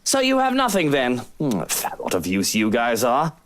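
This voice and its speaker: A woman's voice: extremely low pitch, huge pitch swings, moderate pace, a little hiss or hum, heavily acted. A woman playing a grumpy, sarcastic, imperious employer in a visual novel, with a dismissive way of talking. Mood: scornful. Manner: sardonic, dismissive.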